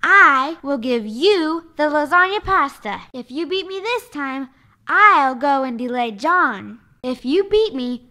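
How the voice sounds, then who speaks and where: Woman playing vain boy kitten, very high pitch, bright, swooping intonation, teasing, cartoon game. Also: lively intonation, slow, full character voice. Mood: teasing, pleading. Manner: showy, cutesy. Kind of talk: video game character voice clips.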